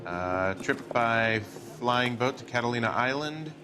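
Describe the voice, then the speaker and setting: Polite, mid-pitched travel agent played by an actor. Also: male voice, expressive, a little slow, lightly acted. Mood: obliging. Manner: polite, hesitant while recalling. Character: polite male 1940s travel agent, obliging and hesitant. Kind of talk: video game dialogue. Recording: music behind the voice.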